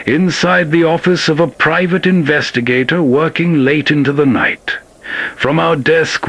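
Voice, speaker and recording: A man's voice: a little high, fairly bright tone. A man hosting an old-time radio program, reading scripted narration meant for late-night listening.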